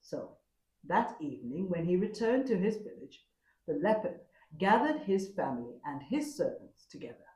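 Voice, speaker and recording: A woman storyteller narrating a folktale expressively, with a dramatic, deliberate manner. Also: on the low side, very animated, darkish tone, roomy sound, poor audio.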